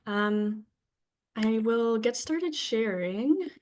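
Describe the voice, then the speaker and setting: A woman's voice: on the high side, animated, drawn-out words, a little mellow, slight background noise. A young woman from Victoria, British Columbia, a little sick and sniffling, giving a friendly talk to a club audience from home, with many ums and restarts. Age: young adult. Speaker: guest presenter. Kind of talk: remote presentation talk. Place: home, presenting remotely.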